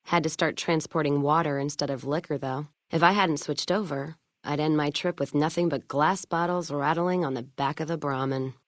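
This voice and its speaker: A woman's voice: deep voice, steady tone, moderate pace, few pauses, dry recording, clean studio sound, close to an everyday voice. A woman voicing a hard-drinking, hot-tempered, cynical caravan trader in a video game, with a blunt, sardonic, salty and world-weary delivery. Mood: wry, weary. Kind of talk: video game character dialogue.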